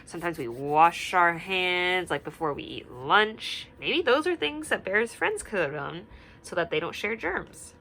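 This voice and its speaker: A young woman teacher reading a picture book aloud and asking the children gentle questions, sometimes dropping to a whisper. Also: on the low side, big pitch swings, a little slow, a little hiss or hum. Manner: drops to a whisper at quiet parts.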